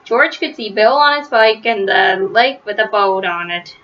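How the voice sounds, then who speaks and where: A woman reading a children's story aloud, phrasing it simply and deliberately and stressing words for young listeners. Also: mid-range voice, expressive, lo-fi sound. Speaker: storybook reader. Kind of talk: children's read-aloud narration.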